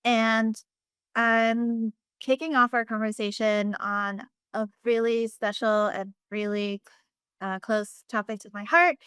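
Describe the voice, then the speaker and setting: Woman from vietnam on a panel video call, lots of ums, smooth clear voice. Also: fairly high pitch, a bit bright, no echo. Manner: earnest, with many ums and pauses. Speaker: assistive technology manager, panelist. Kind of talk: panel talk.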